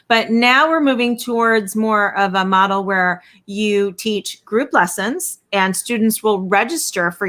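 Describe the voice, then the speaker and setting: A woman's voice: mid-range voice, bright, forceful tone. A woman hosting an interview on a video call, explaining things plainly and encouraging her guest. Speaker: interviewer host. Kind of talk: casual interview talk.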